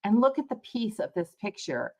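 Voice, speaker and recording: A woman's voice: medium pitch, very animated, moderate pace, warm tone. A woman hosting a casual live tarot reading, talking to viewers in a conversational, reflective way and rephrasing as she explains. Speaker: tarot reader, livestream host.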